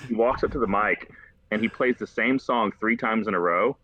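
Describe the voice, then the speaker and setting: An American man chatting casually and telling rambling, animated stories as a podcast host. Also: lowish voice, moderate pace. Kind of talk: casual conversational storytelling. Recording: slightly dull lo-fi, dry close sound.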